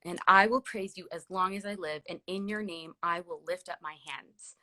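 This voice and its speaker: A woman's voice: on the low side, normal speed, pushed and bright. A woman in her late thirties with an American accent, telling personal stories in a chatty, casual way as a livestream guest. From California, USA. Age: late 30s. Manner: chatty, peppered with "like" and "literally".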